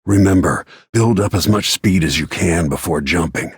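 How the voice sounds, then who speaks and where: A man voicing a grim, battle-worn middle-aged royal protector and assassin in a video game, with terse, brooding, measured delivery and a gravelly, husky voice. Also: low voice, dry recording, studio quality, full character voice. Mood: grim, resolute. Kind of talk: scripted video game character lines.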